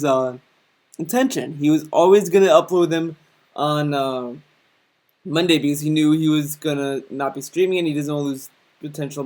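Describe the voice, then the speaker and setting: A man's voice: fairly high pitch, darkish tone. A young man giving a casual, rambling commentary rant, often restarting his sentences and mocking what he's reacting to. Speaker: commentary channel host.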